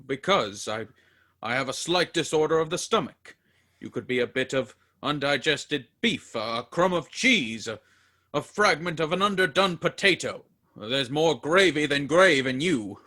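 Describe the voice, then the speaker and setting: A scoffing old miser who waves off what he hears, as a man plays him. He is gruff and stumbles over his words, with a bright, lively voice that sits fairly high. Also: moderate pace. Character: grumpy, doubting old male miser. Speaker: actor in a radio musical. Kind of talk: comic radio musical acting.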